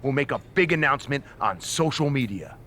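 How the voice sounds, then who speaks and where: A man's voice: mid-range voice, animated, fairly quick, a bit bright, slight background noise, close to an everyday voice. A man playing a smug, scheming wrestling manager in a video game, condescending, needling and theatrical. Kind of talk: scripted video game cutscene acting.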